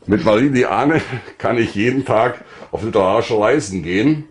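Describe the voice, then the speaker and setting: An older man giving a measured, composed personal account of his life. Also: lowish voice, lively intonation, slight background noise.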